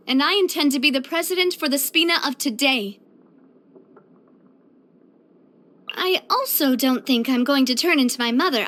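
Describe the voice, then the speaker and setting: High-voiced, cheerful young lady. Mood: earnest, resolute. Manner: bright, earnest, expressive, chatty. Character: cheerful, elegant young woman leading a syndicate. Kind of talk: video game character voice lines.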